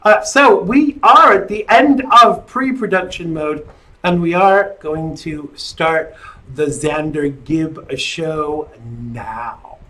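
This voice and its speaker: A middle-aged man hosting a live online talk show. His voice sits high for a man and he takes his time. He rambles and jokes and trails off into "uh" and "um" between thoughts. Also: takes it slowly, sharp tone, rough recording. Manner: rambling and jokey, with many fillers.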